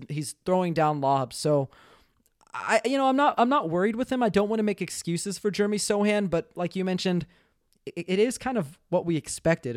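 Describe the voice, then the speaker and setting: A man's voice: a little high, no room sound, clean studio sound. Upbeat man breaking down basketball. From Texas. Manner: animated, analytical, many fillers. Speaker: sports podcast co-host. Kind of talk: casual basketball analysis chat.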